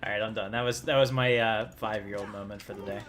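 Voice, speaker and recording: A man with a fairly low voice chats through a game livestream. His commentary is casual, laid-back and a bit hesitant and rambling. Game ambience is clearly audible behind him. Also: steady tone, fast talker, a bit bright, slight background noise. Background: video game ambience.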